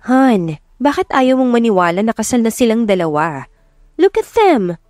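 A woman's voice: a little high, very animated, normal speed, darkish tone, no echo, clean studio sound. A woman voicing a supportive, persuasive wife and mother of a grown son in an audio romance. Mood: coaxing.

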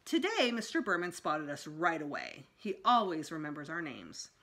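A woman's voice: sits low, sing-song, normal speed, fairly bright tone. A middle-aged woman reading a children's book aloud expressively as a school storytime reader. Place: small room indoors at home.

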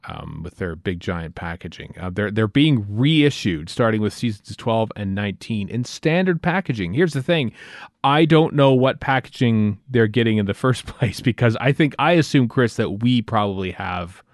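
Low-voiced North American man, casual podcast chat. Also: dry recording, studio quality. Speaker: podcast co-host.

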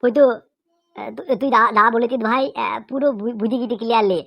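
A woman voicing a curious, earnest cartoon pupil who speaks in a halting, hesitant way. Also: fairly high pitch, vocal fry, fairly bright tone, dry recording. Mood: agreeing. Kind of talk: cartoon comedy dialogue.

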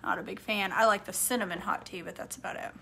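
A woman's voice: mid-range voice, steady tone, gravelly, fairly bright tone, husky. A woman in her forties from Maine, chatting casually and rambling on a livestream from her home kitchen as she answers viewer comments. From Maine, USA. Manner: chatty, rambling, quick to laugh. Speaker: home cook and livestream host. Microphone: phone camera at arm's length.

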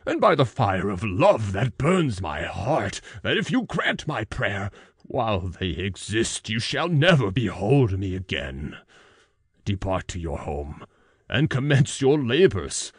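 A menacing, tormented male monster from a gothic storybook. He speaks very slowly and low, with grand, bitter, pleading oratory. The sound is close and dry. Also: very animated, husky, no room sound, full character voice. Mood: fervent, solemn. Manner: slow, grandly emphatic, oratorical. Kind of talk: gothic audiobook character reading.

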